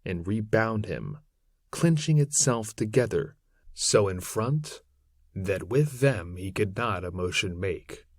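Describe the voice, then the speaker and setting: A man narrating an old epic poem aloud in a solemn, measured, theatrical manner with archaic phrasing. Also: fairly low pitch, expressive, dry recording, studio quality. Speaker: narrator reading poetry aloud. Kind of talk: dramatic recitation of an epic poem in archaic English.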